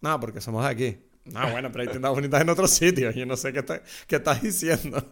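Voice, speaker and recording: A young man with a Canarian accent, co-hosting a casual film podcast, animated and quick to laugh, acting out quoted lines. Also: mid-range voice. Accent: Canarian Spanish. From Canary Islands. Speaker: podcast co-host.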